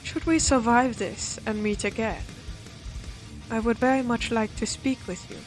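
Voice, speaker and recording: Formal, curious non-human woman played by an actress, detached analysis, slightly high pitch, audio drama. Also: fairly even intonation, measured pace, soft background music, lightly acted. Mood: detached, intrigued. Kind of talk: audio roleplay voice acting.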